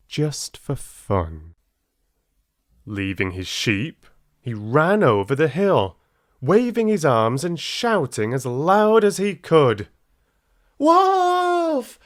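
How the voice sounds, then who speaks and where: A man narrates a children's storybook with dramatic, deliberate phrasing. His pace is very slow and his pitch swings widely for expression. The sound is dry and close. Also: dry recording. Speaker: audiobook storyteller.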